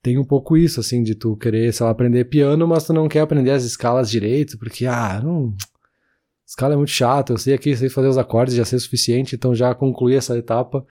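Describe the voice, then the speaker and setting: A man, co-hosting a podcast in relaxed casual chat, full of fillers. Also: medium pitch, fast. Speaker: podcast co-host.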